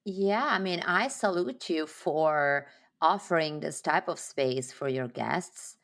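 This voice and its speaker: Woman, Italian accent, deep voice, emphatic, spiritual, interview guest. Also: takes it slowly, fairly bright tone. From Sardinia, Italy. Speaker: podcast guest. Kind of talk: casual interview conversation.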